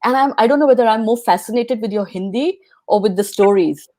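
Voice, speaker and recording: A woman's voice: on the high side, fairly quick, mellow. A woman hosting an interview show, earnest in tone, with small restarts as she speaks. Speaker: host.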